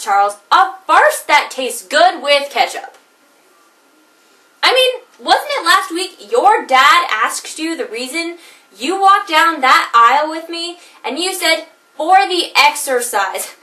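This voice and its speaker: A woman's voice: very high-pitched, bright, forceful tone, echoey room, distant mic, exaggerated voice, low-quality recording. A woman performing a comedic monologue as a jilted wife, wry and theatrical, caught between laughing and crying.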